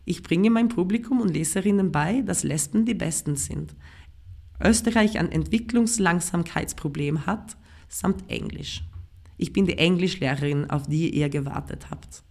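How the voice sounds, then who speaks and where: A Swedish-accented woman with a lowish voice and a gravelly, fry-laced edge. She reads her own comic writing with a dry, self-mocking, deadpan wit. Her first language is Swedish. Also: moderate pace. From Sweden. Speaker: writer and performer, guest. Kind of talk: reading her own comic prose aloud.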